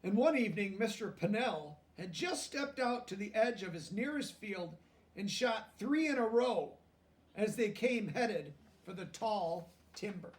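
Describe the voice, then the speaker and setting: A man reading a children's story aloud and pausing to explain things simply, like a teacher with young listeners. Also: sits high, lively intonation, recorded from a distance. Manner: pauses to explain words and check understanding in plain language.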